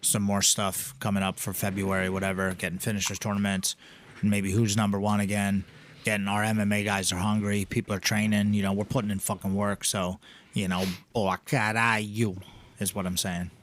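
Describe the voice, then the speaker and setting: A man co-hosting a casual podcast, rambling and swearing, his pitch jumping up when he gets excited. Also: fairly quick. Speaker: podcast co-host, gym owner. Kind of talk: casual profane chat.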